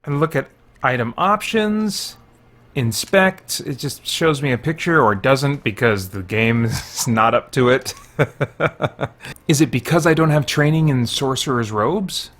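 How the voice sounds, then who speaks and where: A man giving casual video game commentary, thinking aloud in a halting way as he plays and reviews. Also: medium pitch, fairly bright tone. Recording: game music behind the voice.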